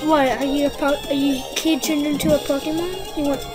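A woman's voice: high-pitched, fairly even intonation, brisk. A woman co-hosting a game playthrough, joking and rambling in a casual way, with game music behind her. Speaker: co-host. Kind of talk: casual gameplay chat.

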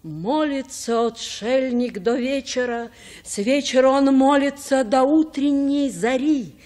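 An actress playing a gentle old grandmother, telling folk tales in a measured, sing-song chant. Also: high-pitched. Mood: sorrowful, chanting. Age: older. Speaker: storyteller. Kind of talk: folk verse tale, sing-song storytelling.

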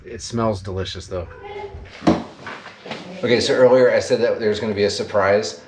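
A man in his forties talking casually to a handheld camera on a farm, relaxed and chatty. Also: lowish voice, moderate pace, noisy background. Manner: relaxed, chatty, self-correcting. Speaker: travel vlogger. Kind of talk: casual vlog talk to camera. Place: outdoors on a farm and inside a trailer. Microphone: handheld action camera at arm's length.

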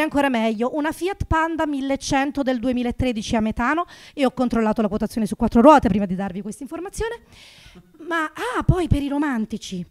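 A woman in her thirties with a high voice works a small comedy club stage, talking into a handheld microphone. Her delivery is sarcastic and animated, leaping up in pitch and volume whenever a punchline lands. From Naples. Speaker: stand-up comedian. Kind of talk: stand-up comedy routine.

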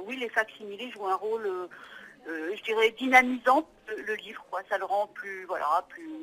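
A woman author answering interview questions thoughtfully, pausing often with fillers. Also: mid-range voice, pushed and bright, low-quality recording. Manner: thoughtful, halting with many fillers. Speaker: author and historian.

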